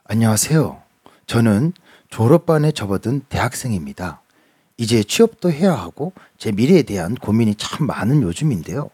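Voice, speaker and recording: A man's voice: medium pitch, moderate pace, breathy edge, no room sound. A man with a Gyeongsang Korean accent, reading listener stories on a radio show and laughing often as he chats. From Gyeongsang region, Korea. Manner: animated storytelling, laughs often. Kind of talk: casual radio chat.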